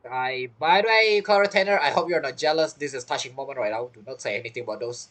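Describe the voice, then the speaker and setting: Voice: mid-range voice, quick pace, a bit bright. A game streamer giving casual, informal live reaction commentary.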